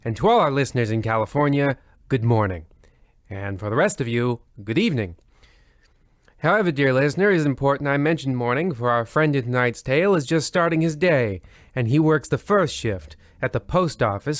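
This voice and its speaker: A man's voice: mid-range voice, lively intonation, fairly quick, dry recording, clean studio sound, lightly acted. A man playing the host of an old-time radio mystery show, narrating in a formal, wordy, mock-solemn way. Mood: genial.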